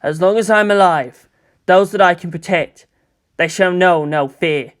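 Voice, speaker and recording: A man's voice: high voice, unhurried, clear, resonant tone. A man voicing a reluctant, earnest and defiant teenage boy hero in a dramatised fan fiction reading, speaking with intensity. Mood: grim resolve.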